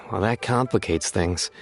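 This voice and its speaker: An actor playing a friendly, weary male city guard in a video game. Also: fairly low pitch. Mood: frustrated. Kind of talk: video game character acting. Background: music.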